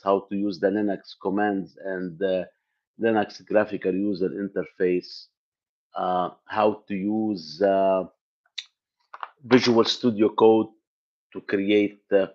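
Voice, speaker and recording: A man from Lebanon whose first language is Arabic chats on a live stream about tech topics. His voice sits a little low and he rambles with fillers and false starts. The audio is dull and lo-fi. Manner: rambling, many fillers and restarts. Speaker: tech channel host. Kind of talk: casual live stream chat to viewers. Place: home in the US Midwest.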